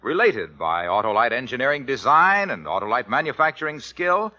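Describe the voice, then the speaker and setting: A man's voice: medium pitch, very animated, fairly quick, sharp tone. An American man delivering a scripted mid-century radio commercial as an emphatic, folksy salesman. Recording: dull old radio recording.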